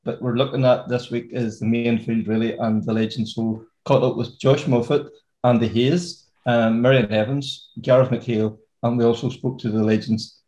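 A man's voice: mid-range voice, steady tone, moderate pace, dark tone, low-quality recording. A man hosting a podcast in a chatty, upbeat way with plenty of fillers. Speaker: podcast host. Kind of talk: casual podcast chat.

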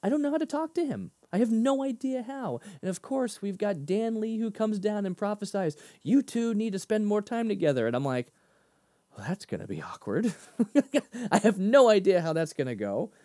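A man co-hosting a casual faith discussion, talking animatedly and breaking into higher voices when he quotes himself. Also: moderate pace, dry recording, clean studio sound. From Boston area. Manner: animated, laughs often, jumps pitch in quoted asides. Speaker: podcast co-host.

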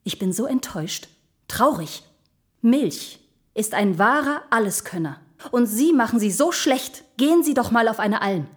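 Vain, seductive talking carafe diva. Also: female voice, a little high, animated, unhurried. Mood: aggrieved, theatrical. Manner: breathy purring, dramatic, condescending endearments. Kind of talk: scripted audio drama acting.

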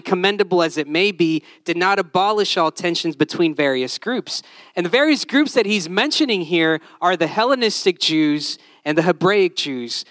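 A man's voice: sits high, a bit bright, no room sound. A man born in Honduras, a Spanish speaker, preaching a conversational sermon. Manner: conversational, tag questions.

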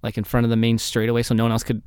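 Podcast host guy, jokey and playful, clear voice, dry close mic. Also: mid-range voice, monotone, very fast, a little mellow, no room sound. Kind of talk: casual podcast chat.

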